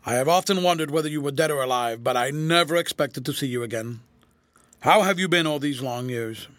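A man's voice: fairly high pitch, fairly quick, a bit bright, no room sound, natural voice. A man voicing a stern, hot-tempered old general with a formal, imperious manner in a storybook reading. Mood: agitated, civil. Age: older.

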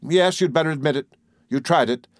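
A man voicing a furious, imperious young woman who scolds with sharp, scornful indignation in a storybook reading. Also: mid-range voice, a little fast, no room sound, clean studio sound, natural voice. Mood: furious, accusing.

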